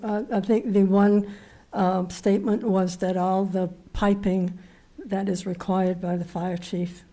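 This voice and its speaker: A woman chairing a town planning board meeting, with a slightly raspy voice, often hesitating and repeating words as she thinks. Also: mid-range voice, level tone, unhurried, dark tone. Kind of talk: municipal meeting discussion.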